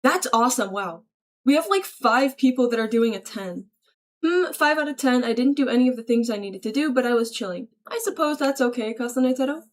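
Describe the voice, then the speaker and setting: A woman's voice: a little high, expressive, fairly quick. A woman livestreamer casually reading and answering viewer messages aloud in a slangy, chummy, thankful way. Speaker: livestreamer greeting chat.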